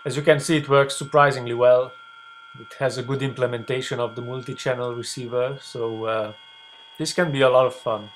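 A man narrates an informal software demonstration for a video blog, speaking at a middling pitch. He explains things step by step in a halting, unscripted way. A noticeable hum and background noise sit under his voice. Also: steady tone, low-quality recording. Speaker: ham radio video blogger demonstrating software. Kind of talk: unscripted screencast tutorial.

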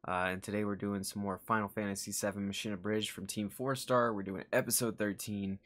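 A man's voice: lowish voice, little pitch movement, fairly quick, a bit bright. A young man hosting a reaction video in casual, rambling chat. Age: young adult. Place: small room indoors.

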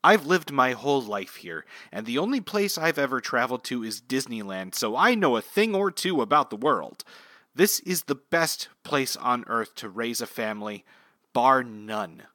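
A man's voice: medium pitch, sing-song, normal speed, sharp tone, dry recording, studio quality. A man playing a proudly provincial, self-assured small-town local in a comic radio play. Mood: smug.

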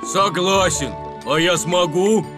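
Timid high-voiced road roller. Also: male voice, takes it slowly. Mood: hopeful. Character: timid, self-doubting, good-hearted road roller vehicle. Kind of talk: Russian cartoon dub. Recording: music behind.

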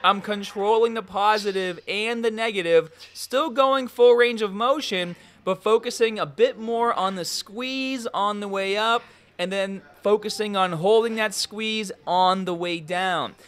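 A young man giving workout instructions as a fitness coach, energetic and coaching in tone. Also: sits high, measured pace. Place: large gym, indoors.

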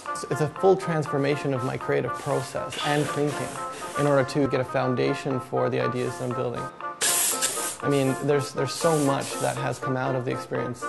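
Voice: mid-range voice, level tone, a little fast, vocal fry, rough recording. An art student from Toronto, speaking articulately and reflectively in an interview testimonial. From Toronto, Canada. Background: music.

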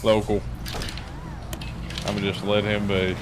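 A man with a fairly low voice talks casually in game chat. Clear noise and a vehicle hum sit behind him. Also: completely flat delivery, unhurried. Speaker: role-play gamer.

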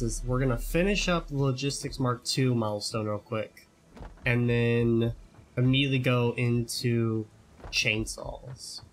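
A young man giving casual tutorial commentary over gameplay, chatty, often repeating words and asking "right?". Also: medium pitch. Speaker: gaming YouTuber.

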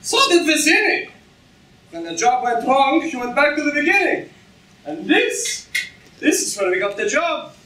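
A woman's voice: sits high, big pitch swings, moderate pace, a bit bright. A woman playing a stubborn, drunken swordsman, defiant and declamatory, in a staged reading of a comic play. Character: stubborn, drunken swordsman waiting for his boss. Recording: echoey hall, far from mic.

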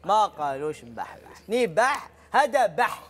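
A middle-aged Algerian man hosts a TV game show, his voice pitched strikingly high and bouncing all over the place. He jokes and needles the contestants with plenty of energy. Studio noise hums clearly behind him. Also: normal speed. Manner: teasing, joking with contestants, energetic on stage. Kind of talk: lively TV game show hosting. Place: large TV studio stage.

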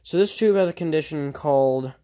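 Guy doing a casual workshop vlog, kinda halting, thinks out loud and corrects himself as he goes. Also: a little high, slight background noise. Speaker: hobbyist radio repairer. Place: indoor workshop at a cluttered bench.

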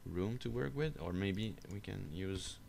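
A man giving an unscripted software screencast tutorial, explaining step by step in a halting, hesitant way. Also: low-pitched, expressive, vocal fry, slight background noise. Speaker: tutorial instructor. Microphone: voice-over for a screen recording.

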